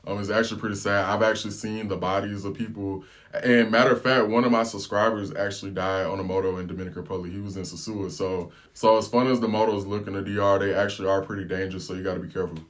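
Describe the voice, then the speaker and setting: An American man vlogging, telling a relaxed, slangy travel story in casual chat. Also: deep voice, quick pace. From United States. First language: English.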